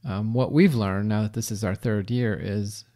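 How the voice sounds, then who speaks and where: A middle-aged man with a low, gravelly voice, co-hosting a podcast. He talks earnestly and haltingly, restarting sentences as he sums up his thoughts. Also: mellow. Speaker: podcast co-host. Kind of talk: casual chat.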